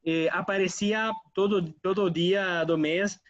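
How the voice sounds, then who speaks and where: An Italian man speaking as an interview guest, halting and restarting as he mixes Portuguese with Spanish. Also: sits high, steady tone, fairly bright tone, dry recording, highs cut off. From Italy. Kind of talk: casual interview over a call.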